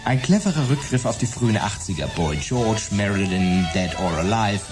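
An actor playing a vain, squeamish image stylist in a radio play, pompous, affected and hesitant. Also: male voice, fairly low pitch, expressive, moderate pace, lightly acted. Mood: evasive, smug. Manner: pompous, prissy, hesitant, indignant. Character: vain, squeamish, affected male pop stylist and self-declared artist. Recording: music behind the voice.

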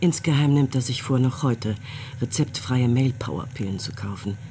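A man reading scripted radio drama narration in a measured, matter-of-fact storytelling manner. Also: medium pitch, background noise.

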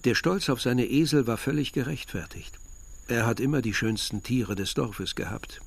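An actor playing a reflective man narrating his village youth in a literary radio drama, measured and articulate. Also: medium pitch, gravelly, husky.

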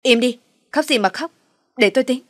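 A woman's voice: sits high, moderate pace, a bit bright, no echo, pitched above the performer's own voice. Woman as defiant young woman, dismissive, curt, storybook drama, dry recording. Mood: harsh, defiant. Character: hard, insolent young adult daughter.

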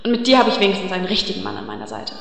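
A woman voicing a vain, haughty female elf priestess in a parody audio drama, prim and quick to curse. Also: medium pitch, very animated, gravelly, fairly bright tone. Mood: forced calm. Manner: prim, quick-tempered, bursts into cursing. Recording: echoey room reverb.

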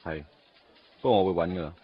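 Young man lost in his first love, very low voice, dull lo-fi radio sound, faint music behind. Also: fairly quick, breathy edge. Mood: resolute. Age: young adult. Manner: wry inner monologue. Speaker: lead character and narrator. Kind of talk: Cantonese radio drama acting.

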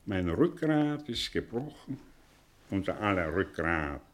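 Broken professor confessing, sweeping intonation. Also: male voice, medium pitch. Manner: theatrical, halting, emphatic, literary phrasing. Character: learned male professor, broken, confessing with bitter fatalism. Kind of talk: German radio play acting.

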